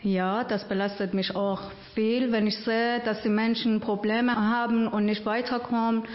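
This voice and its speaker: A woman's voice: fairly high pitch, little pitch movement, measured pace. A woman from Iran who works at an integration agency, answering interview questions in simple, earnest German with small grammar slips. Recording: roomy, dull lo-fi sound.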